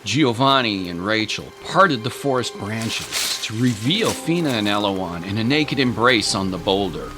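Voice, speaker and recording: A man's voice: fairly low pitch, expressive, unhurried, few pauses. A man narrating a dramatic scripted story. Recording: music and rain sounds behind.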